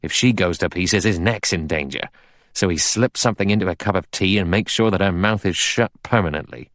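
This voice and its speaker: A man's voice: sits low, brisk, fairly bright tone, no room sound, studio quality. A man voicing a blunt, aggressive, suspicious detective in an audiobook, arguing in pushy, colloquial bursts. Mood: insistent. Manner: pushy, colloquial, emphatic argument. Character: blunt, aggressive middle-aged male detective, suspicious and cocksure.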